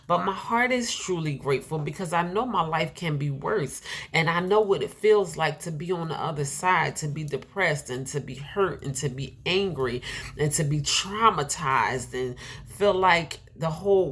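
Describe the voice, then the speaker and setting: A woman's voice: low-pitched, fairly bright tone, slightly rough. A middle-aged woman with a nasal, congested voice giving an earnest inspirational sermon, repeating herself and laughing now and then. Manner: earnest, repetitive, with laughs and filler words. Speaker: preacher.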